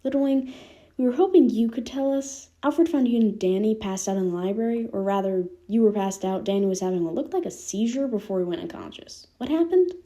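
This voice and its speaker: A woman's voice: a little high, animated, brisk, warm tone, close to an everyday voice. A woman voicing a worried, affectionate big brother in a fan fiction reading. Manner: concerned, urgent.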